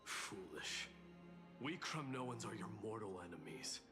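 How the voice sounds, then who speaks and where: An actor playing a proud, defiant warrior from a battle-loving nation in a fantasy game, haughty and declamatory. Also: male voice, on the low side, big pitch swings, measured pace. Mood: scornful. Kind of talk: scripted fantasy game acting. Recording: long reverb, faint game music.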